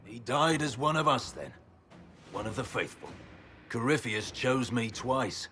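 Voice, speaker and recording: An actor playing a bitter, defiant warrior general, a proud and menacing villain in a video game. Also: male voice, mid-range voice, unhurried, sharp tone, soft background music.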